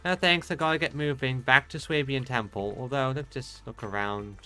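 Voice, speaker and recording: A man's voice: medium pitch, steady tone, normal speed, pushed and bright, faint music behind. A man giving casual, rambling game commentary and reading dialogue aloud as he plays. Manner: rambling, hesitant thinking aloud. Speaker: game streamer.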